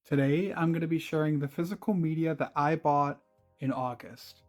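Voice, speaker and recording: Guy with a scratchy strained voice, chatty and laid back, soft music underneath. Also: a little high, normal speed. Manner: relaxed and chatty, with filler words and light laughs. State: scratchy voice from concert screaming. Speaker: channel host. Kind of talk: casual video review chat.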